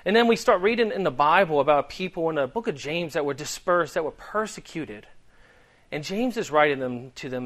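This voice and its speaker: A man's voice: a little high, a bit bright. A man in his thirties from Delaware with a Boston accent, preaching a sermon in an earnest, conversational way with frequent ums. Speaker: guest preacher.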